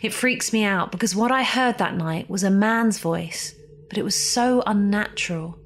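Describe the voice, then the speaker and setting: A woman reading a scripted ghost story as a horror podcast narrator, theatrical and suspenseful, with deliberate emphasis. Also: mid-range voice. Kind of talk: scripted dramatic spooky narration. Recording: music behind the voice.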